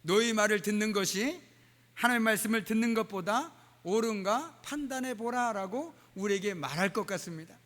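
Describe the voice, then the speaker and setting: A man's voice: high voice, moderate pace, a bit bright. A man preaching a sermon as a pastor in a church, dropping dialect phrases and frequent "uh" fillers. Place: church sanctuary. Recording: church hall with long reverb.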